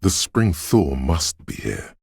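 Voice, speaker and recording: A man voicing a brooding inner voice that senses a rainy city, narrating a video game in a slow, solemn, poetic and deliberate way with a gravelly, husky tone. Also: rumbling low, sing-song, darkish tone, dry recording, clean studio sound, heavily acted. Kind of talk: scripted atmospheric second-person narration.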